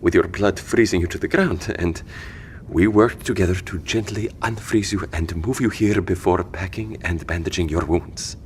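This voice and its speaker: An actor playing a kindly, flustered civilian man in an audio drama, with a hesitant, gentle, wordy manner. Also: low-pitched, moderate pace, a little hiss or hum, close to an everyday voice. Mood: gentle, explaining. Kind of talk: scripted audio drama acting.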